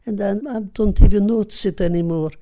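An older woman from Hungary with a Hungarian accent, recalling her life in an unhurried, reflective way during an oral history interview. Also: medium pitch, warm tone. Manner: unhurried, reflective, simple phrasing.

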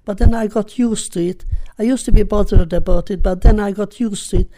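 An older woman from Hungary with a Hungarian accent, recalling her life in an unhurried, reflective way during an oral history interview. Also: mid-range voice, fairly even intonation, mellow. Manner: unhurried, reflective, simple phrasing.

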